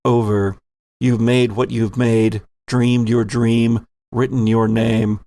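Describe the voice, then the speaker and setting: A man narrates a horror tabletop game as its game master, in a theatrical and deliberate way. His voice is slow, with a crackly, gravelly edge, and the sound is very dry. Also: medium pitch, flat delivery, warm tone, no echo. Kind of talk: dramatic narration for an actual-play horror audio drama.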